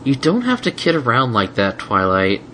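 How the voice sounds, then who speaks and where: A man's voice: medium pitch, huge pitch swings, moderate pace, pushed and bright, slight background noise. A man voicing a sulky, grumbling baby boy dragon who whines and complains indignantly in a storybook reading. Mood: sullen.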